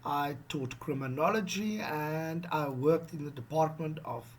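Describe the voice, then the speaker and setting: A middle-aged man from South Africa with an Afrikaans accent, giving a casual lesson on job interviews, animated and checking in often with "okay?". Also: fairly high pitch, a little slow. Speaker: online English teacher.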